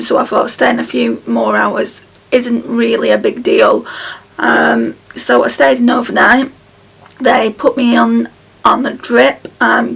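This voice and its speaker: A woman in her thirties chatting to camera at home, her voice hoarse and croaky from illness, tired and halting with many ums. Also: a little high, fairly bright tone. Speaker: beauty vlogger. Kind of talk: casual chat to camera. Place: small room at home, indoors. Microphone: camera close in front.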